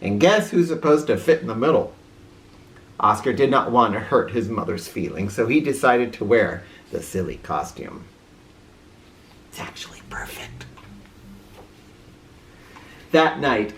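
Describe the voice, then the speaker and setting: A woman reading a children's story aloud in a theatrical, expressive way, with an informal touch. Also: rumbling low. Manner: theatrical, with informal asides to listeners.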